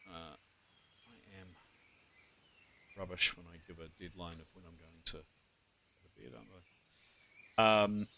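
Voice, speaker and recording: A man running a tabletop game as game master, thinking out loud and correcting himself as he goes. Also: sits low, a little slow, gravelly, pauses often, lo-fi sound, background noise. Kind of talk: casual tabletop game narration and adjudication.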